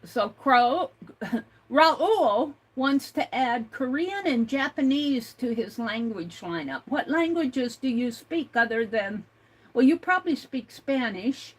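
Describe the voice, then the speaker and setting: An older woman hosting an art livestream, chatting slowly and reading out viewer comments, with many fillers and restarts. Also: sits high, animated, husky. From United States.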